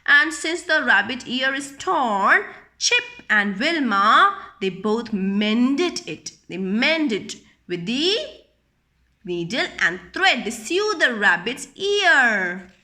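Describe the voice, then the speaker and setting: A woman telling a picture-book story to young children in an expressive, teacherly way, repeating phrases and asking simple questions. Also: fairly high pitch, slow pace.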